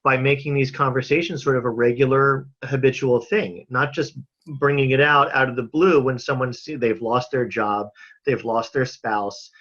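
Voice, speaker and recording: A man talking earnestly and casually as an interview guest on a video call from home, thoughtful and halting with emphatic pauses. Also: mid-range voice, steady tone, a bit bright. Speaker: interview guest, mental health advocate and filmmaker. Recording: slightly dull video-call audio.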